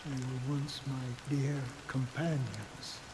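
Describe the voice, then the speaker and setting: Game cutscene: actor as a tired, resigned ranger, slow measured lines, steady rain behind. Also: male voice, medium pitch, noisy background, natural voice. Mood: weary. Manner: slow, reflective, heavy with resignation. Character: weary, world-worn older male ranger. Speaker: game character.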